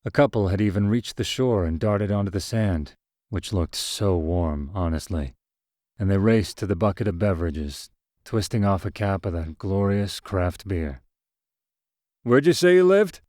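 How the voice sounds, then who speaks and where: A man reading a first-person short story aloud, in an even, measured storytelling manner. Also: deep voice, dry recording, studio quality. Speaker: narrator.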